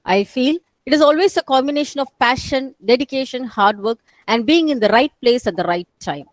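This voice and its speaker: A woman anchors a formal academic event with polite, ceremonial phrasing. Her voice is slightly high and sounds dry and close to the mic. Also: lively intonation, fairly quick, no room sound. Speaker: webinar anchor. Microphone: video call.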